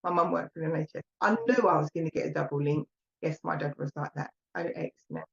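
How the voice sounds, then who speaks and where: A woman's voice: low voice, steady tone, fast, creaky. Chatty Essex woman medium, lo-fi sound. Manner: chatty and rambling, calls viewers "my lovely". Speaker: psychic medium. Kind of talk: live online mediumship reading.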